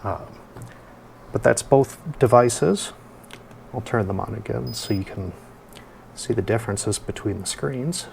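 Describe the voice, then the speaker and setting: Low, gravelly, relaxed male reviewer. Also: a little slow, long pauses, a little hiss or hum. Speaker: product reviewer and host. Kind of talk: casual hands-on product review.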